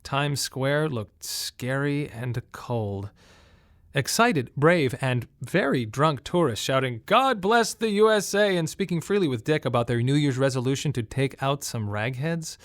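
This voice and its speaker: A man's voice: medium pitch, no echo, close to an everyday voice. Weary, wry male actor as bereaved father, dry sound. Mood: wry, bitter. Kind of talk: radio drama monologue, first-person storytelling.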